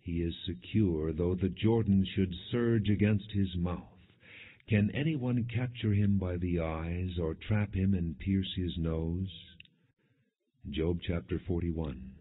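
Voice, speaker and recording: Deep, husky male scripture narrator, phone-like sound. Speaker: audiobook Bible narrator. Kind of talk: scripted scripture narration, non-dramatized reading. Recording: muffled, narrow phone-like sound.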